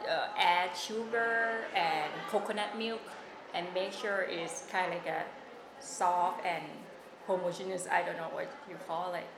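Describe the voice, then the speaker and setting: A woman from Thailand, a Thai speaker talking in English, being interviewed. She speaks haltingly and fills her pauses a lot while she hunts for words. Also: lowish voice, fairly even intonation. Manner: halting, many fillers, searching for words. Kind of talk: casual oral history interview. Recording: echoey room with noise.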